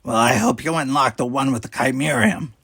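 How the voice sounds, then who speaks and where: A man's voice: medium pitch, brisk, gravelly, fairly bright tone, slightly rough, no room sound, clean studio sound, voice pushed up. A man voicing a menacing, curt female alien pirate boss in a sci-fi storybook, snapping out commands. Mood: impatient, angry.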